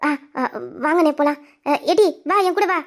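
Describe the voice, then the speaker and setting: A woman's voice: very high-pitched, normal speed. A woman acting a reassuring, motherly sister-in-law with a coaxing, matter-of-fact manner in an audio drama. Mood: caring. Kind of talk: melodramatic Tamil audio drama.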